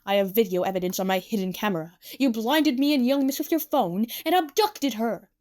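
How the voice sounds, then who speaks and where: A woman's voice: a little high, brisk, warm tone, close to an everyday voice. A woman voicing a protective amusement park mascot, indignant and stern, in a story reading. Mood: accusing. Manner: stern, accusatory.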